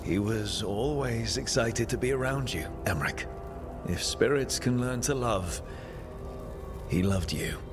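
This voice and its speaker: An actor playing a supportive, plain-spoken adventurer in a fantasy game, sincere and encouraging. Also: male voice, mid-range voice, unhurried, over music, close to an everyday voice.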